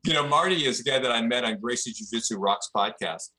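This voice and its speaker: Earnest man with a bright voice. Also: medium pitch, expressive, low-quality recording. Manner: earnest, halting, restarts often. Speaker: jiu-jitsu instructor. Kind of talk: casual chat.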